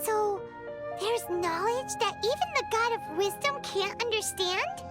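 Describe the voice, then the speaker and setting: A woman voicing a small, childlike young goddess in a game, earnest and tender-hearted, with a halting, heartfelt delivery. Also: very high-pitched, animated, music in the background. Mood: earnest curiosity. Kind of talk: scripted dramatic game dialogue.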